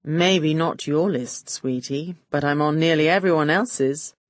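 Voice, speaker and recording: A woman's voice: low-pitched, measured pace, dry recording, studio quality. Woman playing a cocksure, flirtatious lady detective. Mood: smug. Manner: teasing, cocksure. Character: glamorous, self-assured, flirtatious female private detective.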